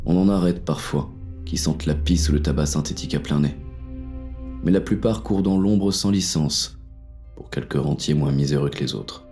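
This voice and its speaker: A man's voice: very deep voice, moderate pace, dark tone, soft background music. A man narrating in the first person as a weary, grim inspector in an audio drama. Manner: weary, grim inner monologue.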